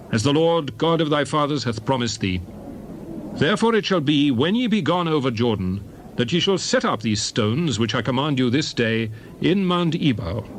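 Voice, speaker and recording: A man narrating scripture as a dramatized reading, solemn, measured and declamatory. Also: mid-range voice, slight background noise. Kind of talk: dramatized Bible reading in archaic English.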